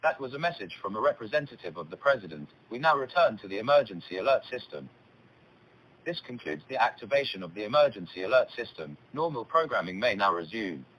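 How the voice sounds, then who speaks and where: A man's voice: lowish voice, sing-song, fast, a bit bright, slight background noise, low-quality recording. A man playing an emergency alert system announcer reading scripted broadcast notices. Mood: brisk, official.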